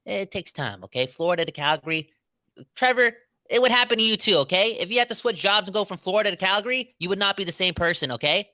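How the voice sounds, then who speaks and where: Male podcast co-host, casual and emphatic, slangy banter, forceful tone. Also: high-pitched, fast, no room sound, clean studio sound. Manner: animated, slangy, emphatic, says "bro" and "right?". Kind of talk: casual sports debate.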